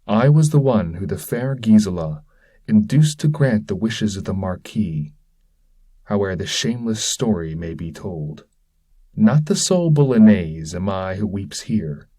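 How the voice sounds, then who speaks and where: A man's voice: lowish voice, dark tone. A man voicing a shamed, reluctant damned sinner making a bitter confession in an epic poem.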